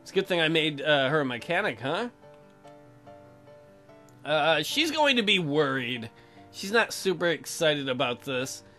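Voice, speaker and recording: A man's voice: fairly high pitch, sing-song, a little slow, long pauses, pushed and bright, faint music behind. A man doing casual, crude and sarcastic game commentary in a joking way. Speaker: let's player.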